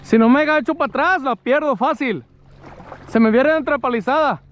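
A man's voice: very high voice, moderate pace, fairly bright tone, highs cut off, a little hiss or hum. A man in his thirties with a Mexican accent, chatting casually and colloquially with some swearing as he fishes outdoors on a lake shore. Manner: colloquial, swearing, repeats phrases. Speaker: angler filming his fishing outing. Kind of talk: casual fishing vlog chat.

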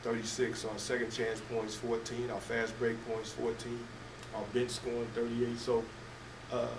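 An older man coaching basketball, answering press questions haltingly with many fillers and restarts. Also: mid-range voice, monotone. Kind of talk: postgame press conference answers. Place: press room at a table before a team backdrop. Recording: echoey room, distant mic, noisy.

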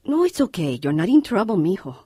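A husky, caring mother. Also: medium pitch, sing-song, brisk, warm tone, no echo, studio quality. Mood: gentle, confiding. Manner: earnest, motherly. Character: caring, worried mother of two boys. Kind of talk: radio drama acting.

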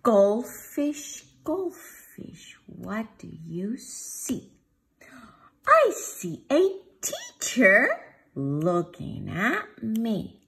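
A woman preschool teacher reading a picture book aloud to young children in a sing-song, drawn-out way. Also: fairly high pitch, huge pitch swings, vocal fry, a bit bright, slight background noise. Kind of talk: children's storybook read-aloud. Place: home dining room, indoors.

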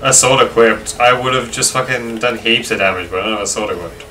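A man of average pitch talks casually while gaming, blunt and quick to swear. His voice sits away from the microphone, with clear noise around it. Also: level tone, pushed and bright. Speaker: video game player. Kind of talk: casual gameplay chat. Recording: distant mic with clear background noise.

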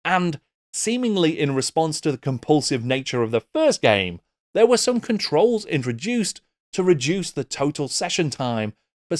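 A man's voice: a little high, dry recording. A middle-aged man presenting a scripted documentary-style video essay, close on a desk microphone. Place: small book-lined home studio.

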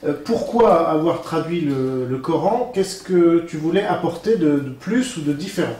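A man's voice: on the high side, expressive, moderate pace, dark tone. A man in his thirties asking informal interview questions with hesitant phrasing. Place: small room at a table, indoors. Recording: echoey room, distant mic, background noise.

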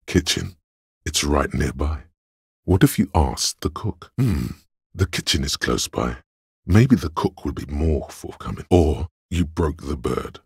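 Very deep, husky voice of reason. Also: male voice, animated, no room sound, studio quality, heavily acted. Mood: dry, analytical. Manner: measured, deliberate, dryly sardonic. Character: cool analytical male inner voice of reason, dry and skeptical. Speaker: voice actor. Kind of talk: video game inner-voice narration.

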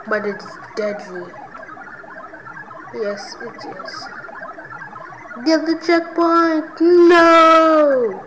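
A woman hosting her own gaming channel, giving let's play commentary and reacting loudly to each win and death. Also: fairly high pitch, heavy background noise.

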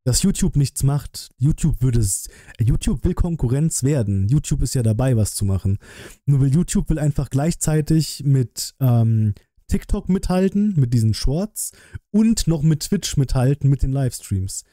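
A man's voice: medium pitch, animated, normal speed, dark tone, no echo. A man in his thirties from Germany chatting casually as a livestream host, blunt and colloquial, thinking aloud, close to a desk microphone. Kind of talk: casual livestream chat and trailer reaction. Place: small decorated streaming room indoors. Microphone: close desk microphone, headset worn.

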